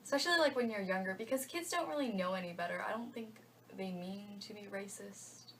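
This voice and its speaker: A woman's voice: mid-range voice, brisk, a bit bright, distant mic, slight background noise. A young woman from the United States answers interview questions in a thoughtful, reflective way. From United States (Georgia). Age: young adult. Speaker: interviewee, college freshman.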